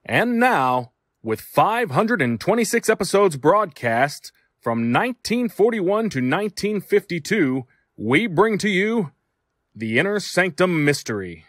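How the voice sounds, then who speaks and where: Male show announcer for scripted intros, highly varied intonation, dry studio-like sound. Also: sing-song, measured pace, a bit bright, no echo. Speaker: podcast announcer.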